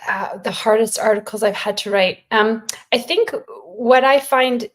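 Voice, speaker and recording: A woman's voice: fairly high pitch, unhurried. A middle-aged woman, an author, giving thoughtful, encouraging answers to questions in a conversational way. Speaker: children's author, interview guest.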